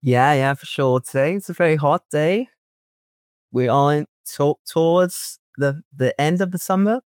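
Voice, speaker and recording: A man's voice: fairly high pitch, unhurried, darkish tone, clear, resonant tone, dry recording. Brazilian man, hesitant, dry sound. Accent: Brazilian Portuguese. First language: Portuguese. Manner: hesitant, restarts phrases, laughs lightly. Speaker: guest researcher. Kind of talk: casual interview chat. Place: home in a city.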